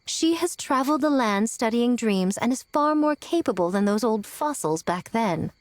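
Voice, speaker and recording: Self-assured fox shrine woman for a game played by a woman, high animated voice, eloquent, sly, over music. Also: moderate pace, dry recording, natural voice. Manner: poised, teasing, eloquent. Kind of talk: scripted video game voice acting. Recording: music behind the voice.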